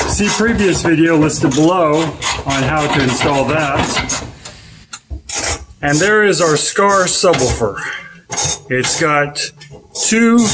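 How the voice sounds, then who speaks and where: A man in his thirties giving a casual, offhand hands-on product review and tutorial while he works. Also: on the high side. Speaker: host of a DIY car audio video. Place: home garage, at the car and workbench. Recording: roomy echo, faint noise and clicks.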